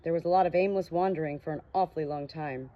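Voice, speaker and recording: A woman's voice: deep voice, fast, close to an everyday voice. A woman voicing a shy, wry and frank amnesiac ghost girl in an audiobook, with a hesitant manner. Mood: frank, unsure. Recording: dull, muffled lo-fi audio.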